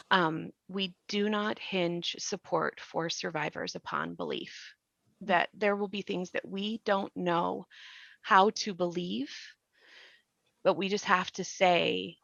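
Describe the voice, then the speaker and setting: Low-voiced woman with a creaky edge. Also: a bit bright, no room sound, dull audio. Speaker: host and survivor advocate. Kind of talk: earnest reflective discussion.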